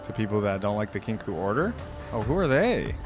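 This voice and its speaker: A man with a fairly low voice talks over music as the host of a reaction video. His commentary is casual and informal, sprinkled with slang. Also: very animated, fairly quick.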